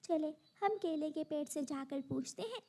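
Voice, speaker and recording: A kind little girl bird from a children's cartoon, played by a woman. The voice is extremely high, with a polite, earnest and helpful manner. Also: normal speed, mellow. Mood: earnest concern. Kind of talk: Hindi animated moral story acting.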